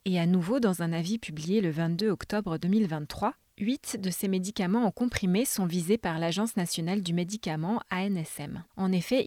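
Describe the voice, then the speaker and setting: A woman narrating a scripted health podcast, speaking clearly and informatively to the listener. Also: lowish voice, a little mellow, no room sound, studio quality.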